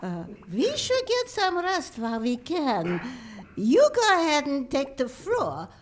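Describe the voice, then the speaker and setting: A woman's voice: high voice, wildly swooping pitch, slow pace, full character voice. A woman voicing a clumsy, servile female lab assistant who calls her boss master and sleeps upside down. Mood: matter-of-fact. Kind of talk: comic radio play acting.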